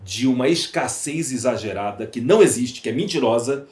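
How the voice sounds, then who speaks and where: A man's voice: mid-range voice, animated, a little fast, over a noisy crowd. Emphatic Brazilian man in a roomy space. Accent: Brazilian Portuguese. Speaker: podcast guest, entrepreneur. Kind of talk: opinionated interview talk. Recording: roomy echo, faint background noise.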